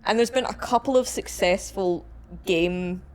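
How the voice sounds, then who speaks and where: A woman's voice: medium pitch, measured pace, slight background noise. A woman co-hosting a podcast in casual panel chat with fellow arcade staff. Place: arcade shop, indoors.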